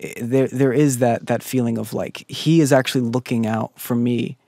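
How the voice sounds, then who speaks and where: A man's voice: mid-range voice, steady tone, moderate pace, mellow, no room sound, studio quality. A man hosting an interview, asking earnest and enthusiastic questions in casual chat. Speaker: podcast host.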